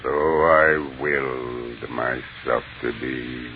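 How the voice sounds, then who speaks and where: An actor playing an ancient, contemptuous, hungry alien mind with a menacing, imperious delivery in a 1930s radio horror drama. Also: male voice, rumbling low, fairly even intonation, drawn-out words, exaggerated voice. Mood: imperious contempt. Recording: tinny, noisy 1930s radio recording.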